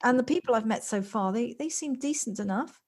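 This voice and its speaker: A woman's voice: on the high side, expressive, a little fast. A woman acting in an audio play as an anxious, polite newcomer to the countryside, speaking in hesitant, formal, wordy sentences. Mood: fond, hesitant. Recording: home recording on personal device, noisy.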